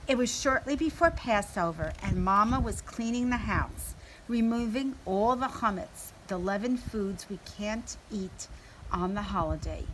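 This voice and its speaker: A woman narrates a children's picture book with expression, acting out the lines of dialogue as she goes. Her voice sits at a medium pitch, and there is noticeable noise and room ambience behind her. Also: expressive, a little slow, low-quality recording. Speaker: story reader. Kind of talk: read-aloud children's storybook narration.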